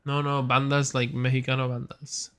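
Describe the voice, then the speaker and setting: A man's voice: medium pitch, monotone, normal speed, sharp tone, smooth. Young male co-host of Puerto Rican background; mid-range voice; loose, slangy, rambling chat. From Puerto Rican family background. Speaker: podcast co-host. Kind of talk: casual chat.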